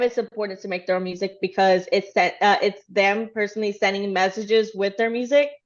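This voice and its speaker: Chatty woman on a dull lo-fi mic. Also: mid-range voice. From Texas. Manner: chatty, rambling, lots of fillers. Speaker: fan channel host. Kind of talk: casual recap of a livestream.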